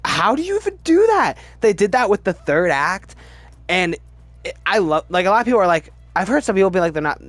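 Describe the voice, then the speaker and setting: A man giving casual, opinionated commentary as a video host, emphatic and halting, often restarting his sentences. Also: high voice, very animated, a bit bright, no room sound. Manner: chatty, halting, restarts sentences mid-word. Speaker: movie review video host. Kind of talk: casual ranked-list movie commentary.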